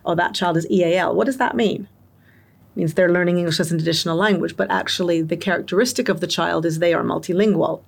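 A woman's voice: lowish voice, fast talker, creaky, slightly rough. A woman guest giving long, articulate interview answers on education, explaining her points with examples. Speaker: guest expert on multilingual education.

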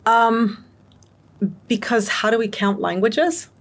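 A woman's voice: on the high side, animated, slow. A woman guest giving long, articulate interview answers on education, explaining her points with examples. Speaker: guest expert on multilingual education.

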